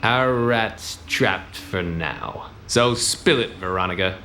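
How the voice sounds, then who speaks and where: An actor playing a wisecracking, hard-boiled 1940s detective with clipped, gruff, deadpan delivery. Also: male voice, on the low side, slow, roomy sound, slight background noise. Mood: commanding. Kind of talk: 1940s-style radio play acting.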